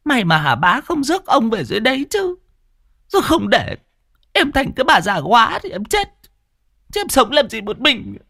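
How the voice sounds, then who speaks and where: A man voicing a frantic middle-aged village wife with a shrill, high voice. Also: huge pitch swings, normal speed, vocal fry, sharp tone, dry recording, clean studio sound, full character voice. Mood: scolding. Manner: wailing then nagging in a high shrill voice.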